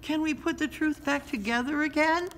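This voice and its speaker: A woman's voice: high voice, moderate pace, pitched above the performer's own voice. A woman voicing a small, earnest, wondering girl in a storybook, with hesitant, questioning speech. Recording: clearly noisy background ambience.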